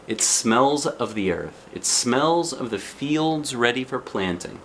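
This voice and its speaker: A man reads a children's storybook aloud at an unhurried pace, with lively swings in pitch. He breaks off for chatty asides and questions to the child listening. There is noticeable background noise. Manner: expressive, asks the young listener questions. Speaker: storybook reader.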